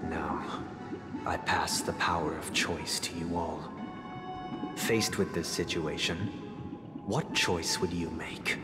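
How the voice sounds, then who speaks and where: A solemn, formal gentleman of a video game, with a low voice and measured delivery. He speaks deliberately and courteously, as if every word were weighed. Long reverb surrounds him and music plays loudly. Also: steady tone. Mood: formal, probing. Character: solemn, courteous young man philosophically posing a moral dilemma. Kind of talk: dramatic monologue in a video game cutscene.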